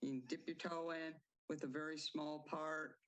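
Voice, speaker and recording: A woman playwright giving measured interview answers, now and then restarting her sentences. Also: low-pitched, level tone, poor audio.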